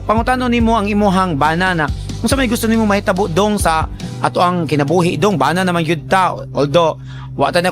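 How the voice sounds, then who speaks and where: A man with a Filipino Cebuano accent hosting a radio advice segment, talking in a colloquial, teasing, conversational way to a letter sender. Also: high-pitched. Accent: Filipino, Cebuano Bisaya. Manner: animated, colloquial, teasing, acts out imagined remarks.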